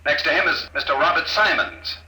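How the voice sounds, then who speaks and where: An actor playing a calm, mocking male villain who speaks over an intercom in a 1950s radio drama. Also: a little high. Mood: cold. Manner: smooth, unhurried, mocking. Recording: echoey intercom on old radio broadcast.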